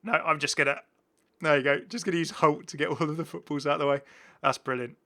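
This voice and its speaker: A man's voice: fairly high pitch, steady tone, fast talker, pushed and bright. A man hosting a gaming channel, giving casual, chatty and enthusiastic commentary as he shows off game content. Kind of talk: casual gameplay showcase commentary.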